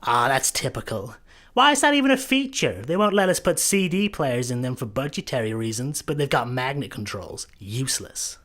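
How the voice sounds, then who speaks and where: An actor with a Scottish accent playing an excitable, exasperated spaceship captain in a sci-fi comedy radio play. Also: male voice, medium pitch, normal speed. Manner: exclamatory, griping.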